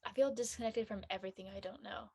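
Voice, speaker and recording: A woman's voice: medium pitch, quick pace, vocal fry, warm tone, slightly rough. A young woman playing a lonely, discouraged college student, speaking in a hesitant, plaintive way in a dramatic script reading. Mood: downcast, lonely. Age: young adult.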